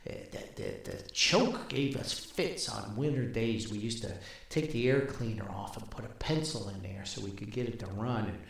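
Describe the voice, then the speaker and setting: An older man telling a rambling, casual story as a podcast host, with a folksy, wry, halting manner. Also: fairly low pitch, gravelly. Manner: folksy, wry, animated, halting with long asides. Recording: very echoey, reverberant room.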